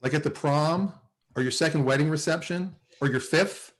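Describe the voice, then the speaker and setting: A man's voice: on the high side, moderate pace, natural voice. A man playing a nervous, lovesick, dryly sarcastic office worker in a sitcom table read, with a hesitant, stammering delivery. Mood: exasperated. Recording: video call table read.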